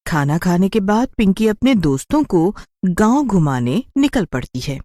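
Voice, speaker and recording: A woman's voice: mid-range voice, very animated, normal speed, dark tone, no echo, studio quality. A woman narrating a scripted story in a dramatic storytelling style.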